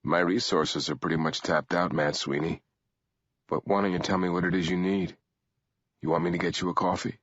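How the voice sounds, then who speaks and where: Guy doing a quiet weary tough guy in an audiobook, real deep and husky, gentle and laconic. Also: fairly even intonation, fairly quick, no echo, lo-fi sound. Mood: gentle, dry. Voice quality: low, husky. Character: calm, weary, patient male protagonist.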